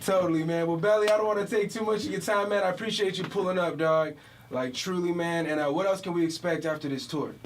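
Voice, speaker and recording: A man with a high, light voice hosts a radio interview from the studio. He keeps it hyped and loose, tossing out slang and quick reactions. The talk is casual banter between tracks. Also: fairly even intonation, far from the mic, a little hiss or hum. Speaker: radio host.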